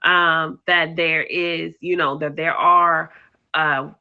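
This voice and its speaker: A woman hosting a podcast interview in casual chat, affirming her guest often and repeating phrases warmly. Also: deep voice, little pitch movement, slow, clean tone, narrow, tinny audio. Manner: affirming, frequent "mm-hmm" and "I love that", repeats phrases. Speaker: podcast host.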